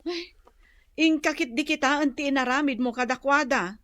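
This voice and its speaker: A scolding Ilocano woman in a radio comedy. Her pitch is high and her tone sharp and bright, recorded dry, with exclamatory delivery. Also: normal speed, no room sound.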